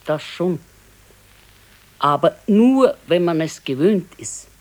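An actress playing a resigned, wistful elderly wife with a Bavarian accent in a naturalistic radio play, speaking plainly and haltingly. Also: female voice, on the low side, animated, a little mellow. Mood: resigned regret. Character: wistful, resigned old pensioner's wife, thrifty.

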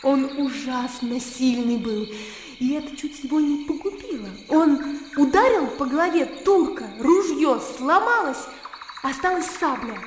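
An actress playing a lively, naive young Russian gentlewoman in a radio drama, talking in an animated, girlish way. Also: high-pitched. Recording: echoey hall-like reverb, clear background noise.

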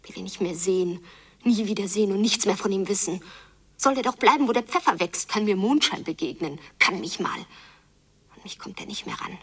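A woman's voice: mid-range voice, a little fast, a bit bright, husky, far from the mic. An actress playing a hurt, yearning girl abandoned by her father in an intense radio play monologue that often drops into whispering. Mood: angry defiance.

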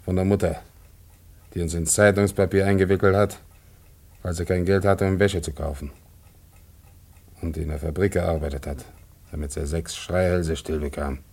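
Casting: weary old working-class father, deep and gravelly, brooding radio-drama delivery. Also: fairly even intonation, normal speed, pauses often, darkish tone. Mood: bitter, resigned. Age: older. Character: bitter old father, lifelong communist worker, weary. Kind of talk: serious German radio drama acting.

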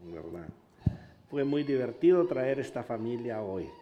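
A man from Honduras preaching in Spanish with a Honduran accent, pausing for an interpreter and telling stories with animated humor. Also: medium pitch, highs cut off, slight background noise. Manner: animated storytelling, laughs at himself. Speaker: pastor. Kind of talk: bilingual sermon with interpreter.

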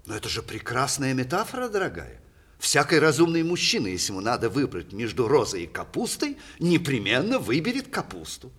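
An actor playing a witty older playwright in a 1960s Soviet radio play, courtly and ironic, with theatrical delivery and a husky voice. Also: male voice, medium pitch, wildly swooping pitch, fairly quick, fairly bright tone, exaggerated voice. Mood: teasing, courtly.

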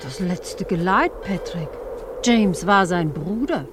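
An actress playing an anxious village woman full of dark foreboding in a German radio crime play, with a brooding, dramatic delivery. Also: fairly low pitch, animated, slow pace, heavy background noise. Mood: dismayed.

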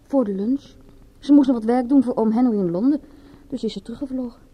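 Dark, husky young fashion model. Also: female voice, on the high side, a little fast. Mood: casual, evasive. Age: young adult. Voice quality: husky, breathy. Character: bored, guilty young female fashion model. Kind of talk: radio drama acting in Dutch.

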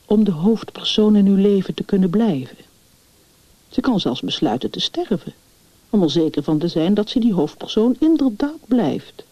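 A woman reading the part of a grave, authoritative male family doctor in a story, explaining things deliberately. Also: lowish voice, no room sound. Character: grave, assured male family doctor giving firm advice. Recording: dull, muffled lo-fi recording.

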